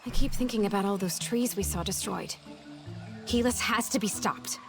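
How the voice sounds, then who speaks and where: A woman playing a plucky, upbeat young adventurer in a comedic animated game, with a bubbly manner. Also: medium pitch, normal speed, natural voice. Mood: earnest. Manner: bubbly, exclamatory. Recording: music behind the voice.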